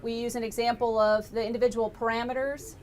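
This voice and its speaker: A woman's voice: level tone, fast talker, darkish tone. A woman explaining technical material conversationally, like an engineer briefing visitors and taking questions. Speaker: lab engineer presenting flight recorder analysis. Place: laboratory tour, indoors. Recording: noisy room with low rumble.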